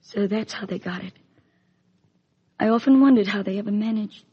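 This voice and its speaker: A woman playing a hurt young adult daughter questioning her birth mother, hesitant and restrained, breathy, in 1950s radio soap style. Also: mid-range voice, moderate pace, lightly acted. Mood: wounded questioning. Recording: dull lo-fi 1950s radio recording.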